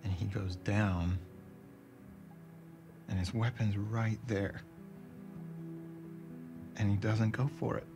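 An actor playing a worn-out young male soldier who talks low and quiet, often close to a whisper, in a video game cutscene. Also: fairly even intonation, takes it slowly, pauses often. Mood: weary. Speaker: game character. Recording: game audio with music score.

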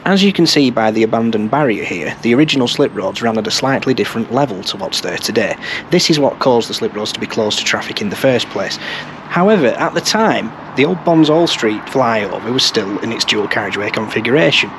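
A man in his thirties presents an informative vlog beside busy roads. His pitch is average for a man and his delivery is wry. Clear street and car noise sits behind his voice. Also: expressive, fairly quick. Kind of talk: informative location commentary to camera. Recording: outdoor street noise from passing cars.

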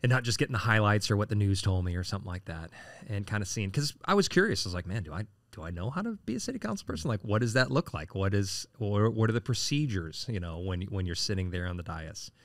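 Man, late 40s, deep voice, casual rambling, fillers, dry room. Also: big pitch swings, fast, few pauses, no room sound. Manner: rambling, frequent fillers and restarts. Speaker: city council candidate. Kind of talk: casual interview answers.

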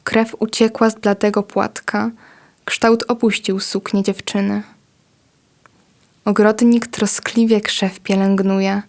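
A woman reciting a poem in a slow, solemn manner. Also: medium pitch, level tone, darkish tone. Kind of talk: poetry reading.

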